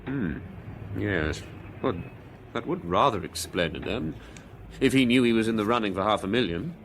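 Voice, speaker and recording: An actor playing a bitter, nervy war veteran gentleman in a radio drama, with an upper-class English accent and excitable public-school slang. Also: fairly low pitch, moderate pace, a little hiss or hum. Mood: musing, amused.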